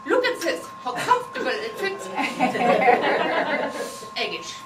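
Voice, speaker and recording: A woman's voice: high-pitched, big pitch swings, takes it slowly. A woman from Germany with a German accent, giving a humorous contest speech with playful, teasing delivery and non-native phrasing. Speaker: contest speaker. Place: meeting hall with audience. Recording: echoey hall from far off, noisy.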